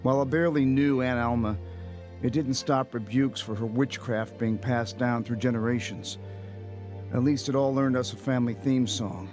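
A man's voice: mid-range voice, steady tone, moderate pace, darkish tone, soft background music, close to an everyday voice. An actor playing a reflective, wry man who narrates his own past in a radio drama. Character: reflective man recounting his lonely childhood. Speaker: first-person narrator.

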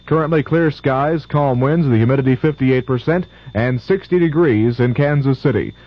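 A man's voice: medium pitch, normal speed. A man with an American accent reading scripted news and sports as a radio announcer. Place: radio studio. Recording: dull lo-fi old radio broadcast.

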